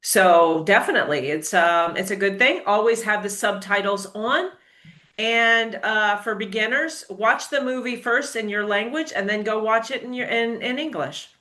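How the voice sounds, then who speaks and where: An American woman tutor with a bright, forceful voice gives firm, encouraging advice. She speaks with lively emphasis, and her voice rings in an echoey room. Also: mid-range voice, moderate pace. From United States. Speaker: English tutor. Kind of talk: casual interview.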